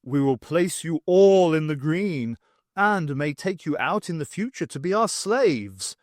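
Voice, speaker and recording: A man's voice: a little high, lively intonation, a little slow, a little mellow, no echo, studio quality. A man voicing a haughty alien warrior leader in an audiobook, speaking formally and imperiously. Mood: coldly confident.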